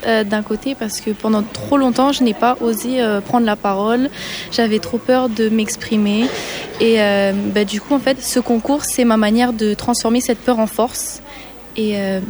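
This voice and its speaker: A woman's voice: on the high side, darkish tone, slight background noise. A woman in her twenties, a beauty pageant candidate, speaking hesitantly with many fillers. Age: 20s.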